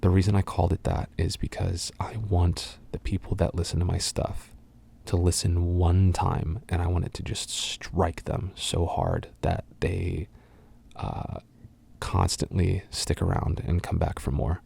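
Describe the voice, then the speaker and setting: A young adult man from the United States, talking in a soft, half-whispered way and rambling through fan questions with lots of ums and uhs. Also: deep voice, unhurried, vocal fry, breathy edge. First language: English. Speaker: voice actor answering fan questions.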